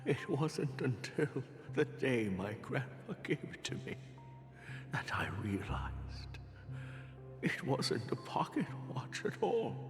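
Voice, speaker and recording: An actor playing a weary, wistful older man reminiscing in halting breaths for a dramatic video game. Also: medium pitch, huge pitch swings. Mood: wistful, nostalgic. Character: weary, wistful aged male traveler reminiscing. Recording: long reverb with music behind.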